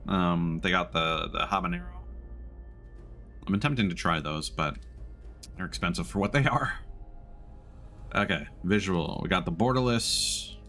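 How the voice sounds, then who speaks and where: A man's voice: low-pitched, lively intonation, normal speed, pauses often, pushed and bright, faint music behind. A man live-streaming a video game, chatting casually with viewers as he goes. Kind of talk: casual live-stream chat with gameplay commentary.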